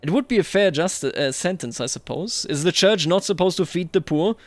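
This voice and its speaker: Male game commentator, slightly high voice, close dry sound, muses through decisions aloud in roleplay style. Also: animated, no echo. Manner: thinks aloud, musing and deliberate. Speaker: host of a strategy game roleplay series.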